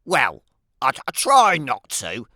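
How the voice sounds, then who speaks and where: A woman voicing a sly, hungry, wry male wolf who tells his own tale in an animated children's read-aloud, with dramatic emphasis and playful asides. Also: rumbling low, slow pace, no echo, clean studio sound, exaggerated voice.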